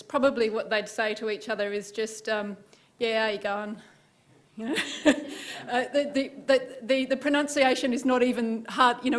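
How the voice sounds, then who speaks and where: A woman from Australia with an Australian accent, a visiting writer who shares reflections and reads a passage in a halting way. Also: fairly high pitch. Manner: halting, repeats words. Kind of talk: reflective reading.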